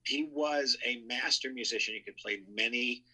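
Bright, forceful man with husky edge. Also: mid-range voice, moderate pace, low-quality recording. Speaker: show contributor, entertainment writer. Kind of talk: reminiscing conversational tribute.

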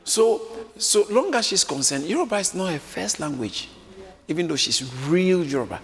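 A man preaching a sermon, lively and often acting out the voices of a crowd, joking with his congregation. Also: high voice, normal speed. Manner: animated, acts out crowd voices, jokes with congregation. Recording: echoey hall with faint room noise.

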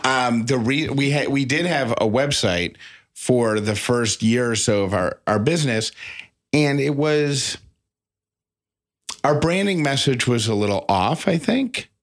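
A man's voice: medium pitch, animated, unhurried. A man co-hosting a podcast in casual conversation, often rephrasing himself as he goes. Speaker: podcast co-host.

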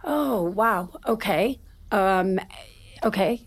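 A woman's voice: mid-range voice, lively intonation, full character voice. A woman playing a bewildered, hurt wife in an audio drama, speaking hesitantly in a naturalistic style. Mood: stunned, confused. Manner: halting, hesitant.

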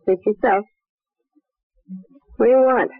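A woman's voice: on the high side, unhurried, no room sound, soft background music. An actress playing a brassy, sardonic jilted showgirl, slangy and defiant, in an old-time radio crime drama. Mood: flippant, defiant. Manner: wisecracking, slangy, cynical. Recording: muffled, phone-like vintage radio recording.

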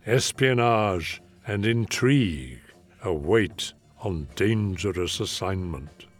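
A man hosts an old-time radio showcase from a script. His voice is fairly low and unhurried, taking its time, with faint music underneath. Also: lively intonation. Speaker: radio show host. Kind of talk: scripted hosting of classic radio programmes.

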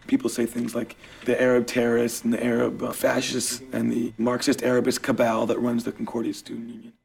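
A man speaking as a student union activist in an archival film clip, with a flat, measured delivery. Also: mid-range voice, creaky.

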